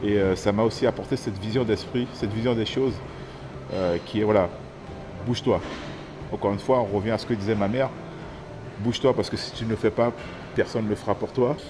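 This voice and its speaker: A man in his thirties from French Guiana, telling his own story haltingly, with many fillers and restarts. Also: on the low side, steady tone. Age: 30s. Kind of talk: personal testimony to camera. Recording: music playing under the voice.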